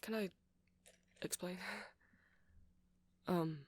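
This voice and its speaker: A man's voice: sits high, drawn-out words, heavily acted. A man playing a shy, earnest, nerdy vampire in an ASMR roleplay, speaking in a hesitant, rambling, stammering way. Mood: shy, nervous. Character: shy, earnest, nerdy male vampire running a music shop.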